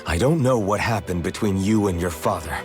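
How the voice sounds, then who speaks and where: A man playing a low-voiced, earnest young rebel hero. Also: fairly quick, music in the background, lightly acted. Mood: firm, earnest. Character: resolute young male rebel fighter, earnest, fantasy adventurer. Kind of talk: English-dubbed anime game voice acting.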